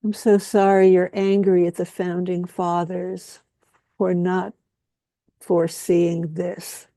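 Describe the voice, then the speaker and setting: A woman speaking a slow, solemn spoken-word lament, with repetitive phrasing and long pauses. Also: on the low side, little pitch movement, warm tone, no echo.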